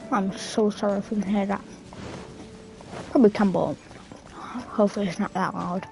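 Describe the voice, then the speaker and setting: A young woman commentates casually on her gameplay. Her voice sits at an average pitch, and she talks in informal, chatty asides. Game music is clearly heard behind her. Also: steady tone, normal speed, rough recording. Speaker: gaming video creator.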